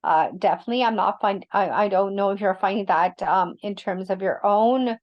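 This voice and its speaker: A woman of about fifty, an educator speaking on an online panel over a video call, often halting as she searches for words. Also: medium pitch. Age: around 50. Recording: dull lo-fi audio.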